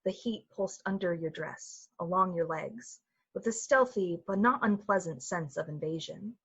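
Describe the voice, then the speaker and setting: A woman narrating a radio play in a measured, atmospheric, dramatic storytelling style. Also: lowish voice, a bit bright, breathy edge, lo-fi sound, full character voice. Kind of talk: literary radio-play narration in 1940s manner. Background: music.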